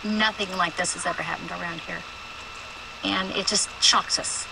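A woman in her fifties interviewed outdoors as a neighbor, speaking slowly and emphatically. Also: on the low side, steady tone, vocal fry. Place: outdoors by a street. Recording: TV interview clip, loud noise.

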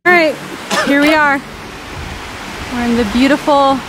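Female travel vlogger, higher-pitched, informal and conversational, music under the voice. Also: fairly even intonation, measured pace, rough recording. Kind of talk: casual vlog chat. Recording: music behind the voice.

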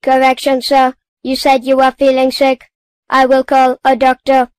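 Small household robot for a kids' cartoon, played by a woman: high-pitched, utterly even, formal and pedantic. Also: normal speed, smooth, no room sound, lightly acted. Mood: officious, flat. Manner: formal, clipped, monotone correcting. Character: small literal-minded, officious household robot.

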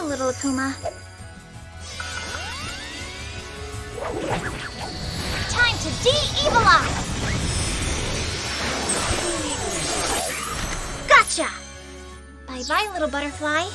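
A woman voicing an earnest, brave teenage superheroine in an animated video game, with expressive delivery. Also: very high-pitched, moderate pace, over loud music. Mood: confident, triumphant.

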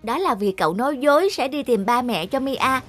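High-pitched earnest cartoon child. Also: female voice, lively intonation, a little fast, faint music behind. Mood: determined.

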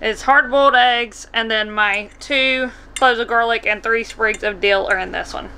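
An American woman in her twenties hosts a casual home cooking vlog. Her voice sits on the high side and is notably bright and forward. She is recorded on a camera in a small kitchen, with light noise behind her. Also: fairly even intonation, normal speed, rough recording. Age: 20s. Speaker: vlog host. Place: small camper kitchen, indoors.

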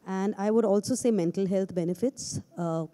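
A woman with an Indian English accent giving a brief, measured answer as an HR head on a conference panel, into a handheld mic. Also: medium pitch, warm tone. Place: large conference stage.

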